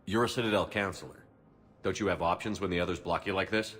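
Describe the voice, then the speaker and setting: An actor playing a stoic military commander in a video game, terse, direct and matter-of-fact. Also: male voice, deep voice, steady tone, fast talker, a bit bright. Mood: businesslike. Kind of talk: cinematic game dialogue.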